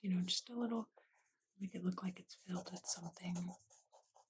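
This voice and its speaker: A softly whispering ASMR host of unclear sex narrates a drawing gently and unhurriedly in short murmured phrases, close to the microphone. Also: mid-range voice, steady tone, a little fast. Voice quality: soft, breathy whisper. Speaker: ASMR art channel host. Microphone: close USB condenser microphone. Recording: noisy close mic with clicks and pen scratching. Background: hiss, clicks and writing sounds.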